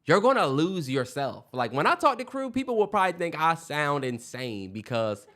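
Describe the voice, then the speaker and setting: A man's voice: on the high side, sing-song, normal speed, fairly bright tone. A young man co-hosting a podcast, talking loosely with profanity and lots of restarts. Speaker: podcast co-host. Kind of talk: casual chat.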